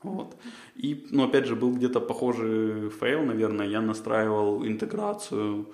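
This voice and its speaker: A man's voice: on the low side, expressive, measured pace, darkish tone, roomy sound. A man, a podcast co-host, answering interview questions in a rambling way, with many fillers and restarts. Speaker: job candidate, podcast co-host.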